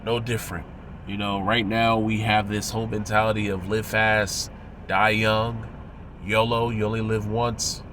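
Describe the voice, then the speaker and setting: A man in his thirties, his voice low and thick with congestion, talks at a deliberate pace with frequent pauses. He moralizes like a preacher giving a lesson. A faint background noise sits under the recording. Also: flat delivery, fairly bright tone. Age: 30s. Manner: measured, moralizing, pausing often. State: congested and nasal from a cold, coughing. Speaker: religious commentator. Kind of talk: preachy commentary video.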